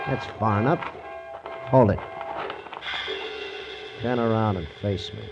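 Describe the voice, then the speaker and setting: An American actor playing a slangy, city-bred hired gunman with a husky voice in a 1940s radio crime drama. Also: lowish voice, takes it slowly, over music. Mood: menacing. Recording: dull old radio recording.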